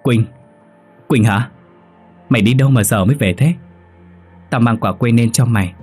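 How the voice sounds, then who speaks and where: A man voicing a sick, frightened young female student in a horror story, with urgent, halting, tearful pleading. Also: mid-range voice, darkish tone, breathy edge, soft background music, lightly acted. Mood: worried pleading.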